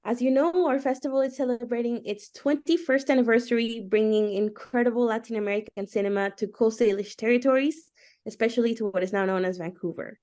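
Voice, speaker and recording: A woman's voice: fairly high pitch, fairly even intonation, normal speed, a little mellow. A woman who is a festival managing director, opening an online panel in an upbeat, formal announcing manner over a video call. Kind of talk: scripted event introduction.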